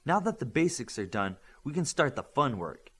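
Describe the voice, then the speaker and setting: A man reading the part of an excitable, confident, creative girl with powers in an audiobook, eager and bossy. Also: medium pitch, moderate pace, breathy edge.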